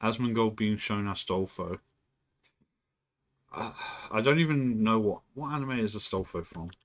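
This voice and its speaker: A man with a slightly low voice chats on a live stream from home. He rambles loosely between thoughts, clears his throat often, and swears casually. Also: normal speed. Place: indoors at home.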